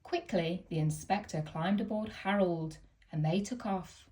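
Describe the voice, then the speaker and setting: A woman reading a children's picture book aloud in a gentle, expressive storytelling manner. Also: fairly low pitch, measured pace.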